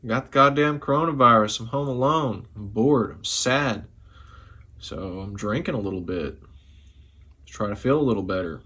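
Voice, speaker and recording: A young man who is sick and slightly hoarse, talking loosely and profanely to camera from his living room after a few drinks. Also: lowish voice, normal speed, sharp tone. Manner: profane, loose and chatty, a bit tipsy. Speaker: music vlogger. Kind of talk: casual rambling talk to camera.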